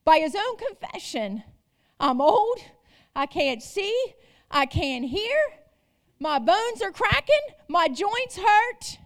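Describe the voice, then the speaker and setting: A woman's voice: very high voice, big pitch swings, slow. A woman preaching a sermon, emphatic and repetitive, asking the congregation rhetorical questions.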